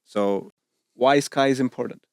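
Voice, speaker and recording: A man moderating a podcast, with slow, halting phrasing and non-native grammar. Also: medium pitch, creaky, darkish tone, no echo, studio quality. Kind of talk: casual podcast chat.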